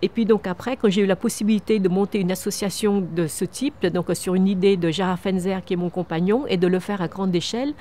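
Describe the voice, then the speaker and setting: A woman's voice: medium pitch, steady tone, fairly quick, few pauses, warm tone, slight background noise. A woman in her fifties from Vietnam, answering interview questions outdoors in an earnest way, often restarting and repeating words. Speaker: founder of a microcredit charity. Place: outdoors on a wooden terrace among trees.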